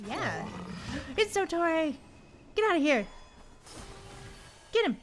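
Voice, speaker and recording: A woman's voice: very high voice, very animated, slow, slight background noise. A woman chatting casually as she streams a game, with a playful, exclaiming way of talking to viewers. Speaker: livestream host. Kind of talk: casual gameplay livestream chat.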